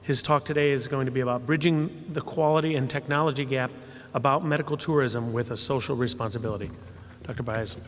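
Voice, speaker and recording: Halting man moderating in a ballroom. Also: mid-range voice, fairly even intonation, a little hiss or hum. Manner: halting, filler-heavy reading of an introduction. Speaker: panel moderator. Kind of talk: introducing a speaker. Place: hotel ballroom at a summit. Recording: long reverb of a large ballroom.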